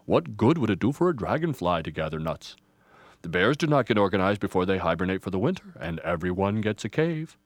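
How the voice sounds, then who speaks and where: A man voicing a wise, grandfatherly old pine tree with a deep booming voice and solemn manner, in a storybook. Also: sing-song, no echo. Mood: patient teaching. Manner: slow, solemn. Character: wise, ancient male ponderosa pine, grandfatherly and serene.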